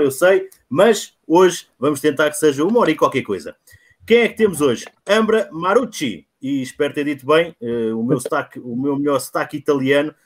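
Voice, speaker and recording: Chatty podcast host, a man. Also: fairly high pitch. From Portugal. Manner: chatty and self-mocking, many fillers. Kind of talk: casual live-stream chat.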